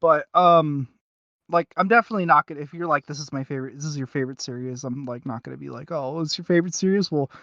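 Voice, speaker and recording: A man hosting a casual review chat, talking in a halting, rambling and self-deprecating way. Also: on the high side, a bit bright, no room sound, dull audio. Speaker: podcast host.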